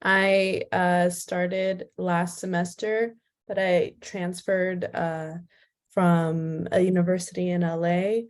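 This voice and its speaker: Slow, low-voiced student woman. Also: little pitch movement. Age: young adult. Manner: slow, careful self-introduction.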